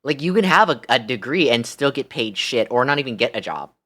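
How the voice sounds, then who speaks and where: Young American male streamer, sharp forward tone, casual chat that tips into animated, sweary exasperation. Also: medium pitch, brisk. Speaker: live streamer.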